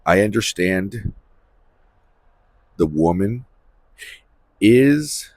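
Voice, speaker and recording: A man with a husky voice giving a rambling, unscripted spiritual monologue, emphatically repeating phrases for stress as he hosts. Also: on the low side, lively intonation, very slow, a little mellow, no echo. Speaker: host of a spiritual series.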